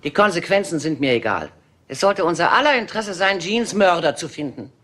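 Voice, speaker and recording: An actress dubbing an aging Hollywood film diva in a German crime drama, theatrical and imperious. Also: female voice, fairly low pitch, animated, a little fast, a bit bright. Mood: scheming.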